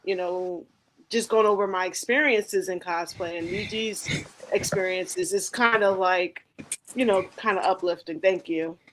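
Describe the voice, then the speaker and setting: A woman's voice: on the low side, measured pace, fairly bright tone, a little hiss or hum, low-quality recording. A woman chatting casually on a live stream as a cosplayer guest, easygoing and laughing often.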